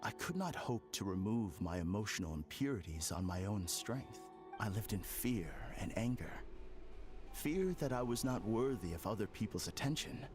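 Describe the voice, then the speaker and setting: An actor playing a calm, formal, smugly polite villain in an anime video game, with theatrical delivery. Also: male voice, lowish voice, moderate pace, music in the background, close to an everyday voice. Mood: serenely fervent. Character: calm, polite, smug male villain claiming perfected happiness.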